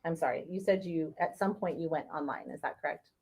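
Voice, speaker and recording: A woman's voice: sits low, a little fast, darkish tone, slightly rough. A woman attorney questioning a witness in court, asking patient, methodical questions. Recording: dull, lo-fi courtroom feed.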